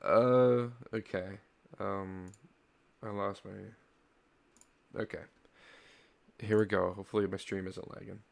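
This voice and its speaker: A man chats casually as he hosts a reaction stream, taking his time between words. His voice sits a little low with a gravelly fry, and he sounds hesitant and giggly. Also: fairly even intonation, takes it slowly, pauses often. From Canada. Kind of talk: casual chat reacting to videos.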